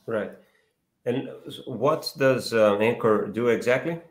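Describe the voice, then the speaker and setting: Thirties male host, mid pitch, friendly questions over a webcam call. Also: a little slow. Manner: friendly, leading questions with fillers. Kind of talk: video call interview. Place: home room, indoors. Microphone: laptop webcam on video call.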